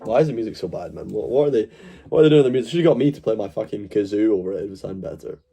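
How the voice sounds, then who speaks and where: A man's voice: on the low side, lively intonation, racing, dark tone, slightly rough, soft background music. A man from Glasgow hosting a casual live stream, rambling, joking and swearing freely. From Glasgow, Scotland. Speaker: livestream host.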